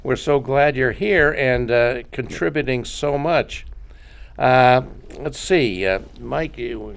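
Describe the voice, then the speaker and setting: A man's voice: mid-range voice, takes it slowly, gravelly, clear, resonant tone. A man hosting a talk show, often cutting in with questions. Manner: interjecting, questioning. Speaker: host.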